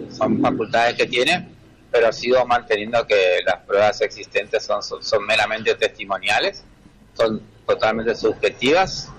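A man's voice: lowish voice, a bit bright, poor audio. An Argentine man speaking as a defence lawyer in a live news interview, hesitating and repeating words.

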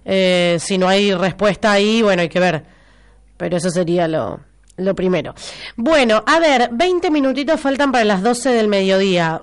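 A woman's voice: mid-range voice, clean tone. A woman with an Argentine accent hosting a local radio show, chatty and teasing, with a stuffy nose from a cold. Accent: Rioplatense Argentine Spanish. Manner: chatty, teasing, repeats information for listeners. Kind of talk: casual live radio chat with listener messages.

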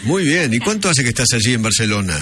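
A man's voice: mid-range voice, sing-song, fast talker. A man with an Argentine accent, hosting from the studio and talking to a reporter abroad, enthusiastic and full of exclamations. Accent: Argentine Spanish. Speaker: studio radio host. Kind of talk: radio talk.

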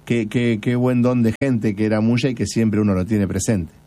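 A man with an Argentine Spanish accent hosting a radio interview, asking long, halting questions full of fillers. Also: fairly low pitch, fairly even intonation, dark tone. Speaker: radio host.

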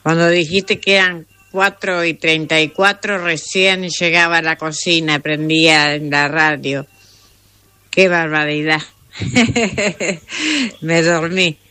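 A woman's voice: low-pitched, fairly even intonation, measured pace, sharp tone, smooth, no room sound. A sleepy woman with an Argentine accent, just out of bed, leaving a chatty, casual message as a radio listener. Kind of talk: casual chat.

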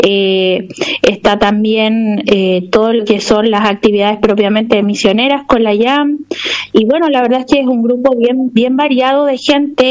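A missionary nun with a Chilean accent, answering interview questions hesitantly with many fillers. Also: female voice, fairly high pitch, darkish tone, dull audio.

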